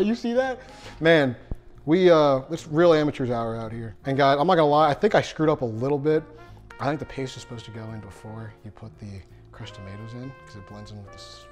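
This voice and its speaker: Young male host, casual and self-deprecating, mid-pitch, kitchen demo. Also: darkish tone. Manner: chatty, self-deprecating, addresses viewers as guys. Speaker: cooking show host. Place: home kitchen.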